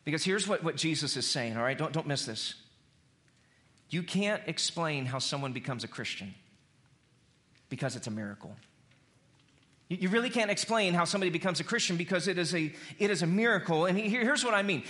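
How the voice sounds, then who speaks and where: A man preaching a conversational sermon as an animated storyteller, repeating phrases for emphasis. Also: a little high, brisk, pauses often. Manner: animated storyteller who acts out dialogue in slang.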